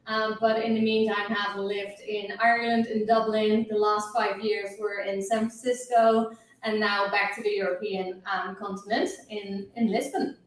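A woman's voice: mid-range voice, level tone, moderate pace. A woman with a Dutch accent, a coach and guest, answering interview questions in a reflective way with frequent fillers. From Netherlands. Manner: reflective, talks with hesitant fillers. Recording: roomy audio from far off the mic.

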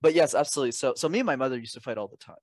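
A man's voice: mid-range voice, rapid-fire, no echo, clean studio sound. A young man giving conversational advice as an interview guest, emphatic and articulate, often posing rhetorical questions. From Canada. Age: young adult. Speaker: interview guest, communication coach. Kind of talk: conversational advice and personal anecdotes.

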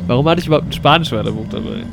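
A man's voice: medium pitch, rapid-fire. A man co-hosting a podcast, making casual improvised banter in a small audio play. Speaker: podcast co-host. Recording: clear vehicle-like background noise.

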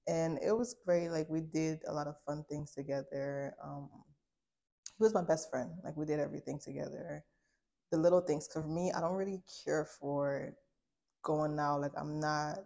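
A woman's voice: low-pitched, gravelly, dark tone. A woman in her twenties from Liberia telling a candid personal story in an informal, reflective, conversational way. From Liberia, later United States. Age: 20s. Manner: informal, reflective, frequent use of "like". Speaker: guest sharing a personal testimony.

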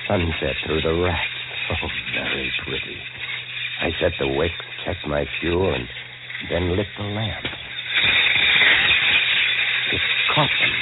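A man's voice: on the low side, very noisy. An actor playing a haunted lighthouse keeper, telling his horror story with dramatic intensity in old-time radio style. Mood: grim, then horrified. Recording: tinny old radio recording with music.

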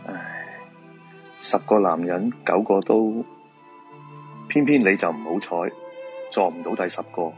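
A man's voice: sits low, measured pace, pauses often, faint music behind. A man with a Hong Kong Cantonese accent acting a weary, persuasive feng shui master in a supernatural radio drama. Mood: sober, persuading.

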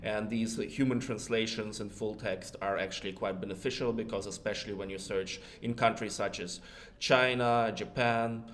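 Lowish-voiced young man, noisy recording. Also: fairly even intonation, normal speed, a bit bright. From born in Russia, grew up around Europe, partly Sweden. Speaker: guest, patent research client trainer. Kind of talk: casual interview answers. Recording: background rumble, clear noise.

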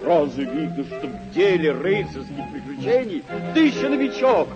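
An actor playing a deluded, gallant old knight-errant in a radio play, declaiming in stately, drawn-out phrases. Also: male voice, fairly high pitch, big pitch swings, over loud music. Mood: excited, bellicose. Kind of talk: vintage radio play acting. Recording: dull old radio recording.

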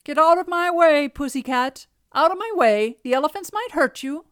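A woman's voice: sits high, animated, moderate pace, dry recording, studio quality, pitched above the performer's own voice. A woman voicing a proud, commanding newlywed king in a children's storybook. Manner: loud, commanding.

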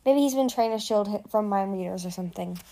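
A woman's voice: medium pitch, quick pace, dark tone, natural voice. A woman voicing a young female dragon in a storybook, earnest and reasoning as she explains. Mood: whispered puzzlement.

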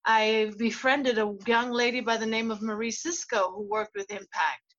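Woman from south africa, fairly high voice, dull lo-fi audio, talks it through thoughtfully. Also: steady tone, a bit bright. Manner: thoughtful, measured storytelling. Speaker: guest, actor. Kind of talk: casual interview chat.

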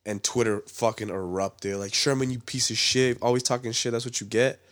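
A man's voice: medium pitch, fairly even intonation, brisk, a bit bright, no echo. A man co-hosting a casual sports podcast, sick and nasal with a stuffy nose, joking and swearing through the banter. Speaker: podcast co-host.

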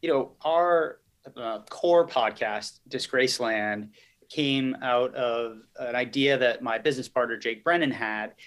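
A man's voice: medium pitch, measured pace, a bit bright. A man giving conversational interview answers as a business guest, explaining and recounting in an articulate way. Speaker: podcast guest, company chief executive.

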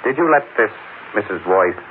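Brief: male actor for easygoing, shrewd newsman, lowish voice with big swings, vintage radio mystery. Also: lively intonation, normal speed, lightly acted. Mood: shrewd, wary. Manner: casual, colloquial, questioning. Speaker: lead actor in a radio drama. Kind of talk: old-time radio drama acting. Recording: noisy, narrow-band old radio broadcast.

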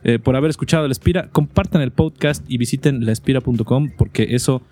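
A man's voice: medium pitch, fast talker, mellow. A man co-hosting a casual esports podcast, talking informally and often repeating words as he finds his phrasing. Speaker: podcast co-host. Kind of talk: casual chat about esports.

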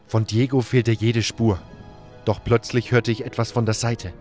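A man's voice: mid-range voice, flat delivery, a little slow, darkish tone, soft background music. A man reading scripted first-person audiobook narration as a dramatic storyteller.

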